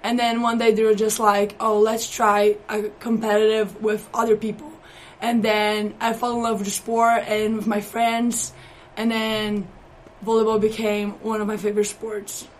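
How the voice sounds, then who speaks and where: A woman's voice: medium pitch, flat delivery, moderate pace, a little hiss or hum, rough recording. A young woman with a Brazilian accent, a college volleyball player answering interview questions earnestly in slightly non-native phrasing. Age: young adult.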